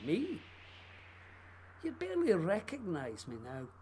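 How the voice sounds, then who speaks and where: A male actor plays a haunted, ageing man of letters in a radio drama. He speaks slowly and poetically, with great swoops of pitch and halting pauses. There is noise on the recording. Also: wildly swooping pitch, darkish tone, heavily acted. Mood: mournful, haunted. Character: wistful ageing Scottish playwright, haunted and intense. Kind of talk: radio play acting.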